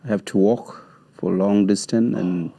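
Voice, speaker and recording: A man from answers interview questions. His low, dark voice sounds dry and close, and he speaks in measured, halting phrases with grammar. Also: fairly even intonation, gravelly, husky, no room sound. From South Sudan. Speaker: guest, community leader.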